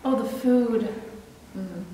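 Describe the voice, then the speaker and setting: Slow-speaking woman in an echoey hall. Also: on the high side. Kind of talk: casual interview chat. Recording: echoey hall, distant mic, faint noise.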